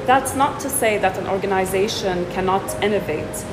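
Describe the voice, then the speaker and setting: A woman guest answering interview questions in a measured, businesslike way. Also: mid-range voice, background noise. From Syria. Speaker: guest, technology executive. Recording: roomy echo, loud music behind.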